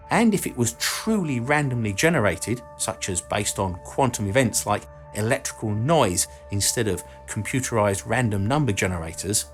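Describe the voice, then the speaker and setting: A man reading a scripted explainer narration in a measured, explanatory way. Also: medium pitch. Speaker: documentary channel narrator and host. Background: background music.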